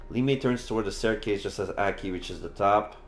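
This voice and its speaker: A man's voice: fairly low pitch, a little fast, slight background noise. A man reading a visual novel aloud on a livestream, casually adding his own reactions as he goes. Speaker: live streamer. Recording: echoey room.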